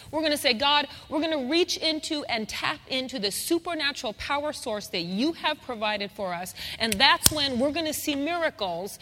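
A woman's voice: a little high, sharp tone. A woman preaching a sermon, calling out for amens and repeating phrases with rising fervor. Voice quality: singer. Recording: long hall reverb.

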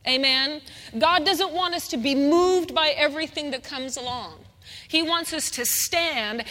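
A woman with a high voice preaches a fervent sermon and keeps asking her congregation for an amen. She repeats key phrases and builds them up. Her voice rings through a big reverberant hall. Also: fairly bright tone. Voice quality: singer. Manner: call-and-response, repeats phrases with rising fervor.

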